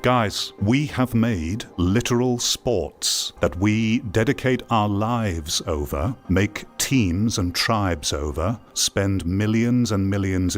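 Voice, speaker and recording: A man giving opinion commentary on VR gaming in an emphatic, deliberate way, repeating phrases for weight. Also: on the low side. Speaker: VR gaming video creator. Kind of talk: opinion commentary over gameplay. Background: music.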